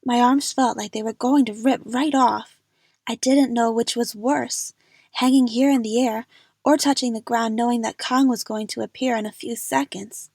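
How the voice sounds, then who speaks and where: A woman's voice: on the high side, darkish tone, slightly rough, dry recording, studio quality. A woman narrating a first-person story dramatically for an amateur audio drama.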